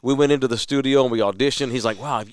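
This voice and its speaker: A man's voice: mid-range voice, fast, clean tone. A man with a Texas accent telling a story in a casual podcast chat, acting out the people he quotes. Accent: Texan. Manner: animated storyteller, quotes others in a lively voice.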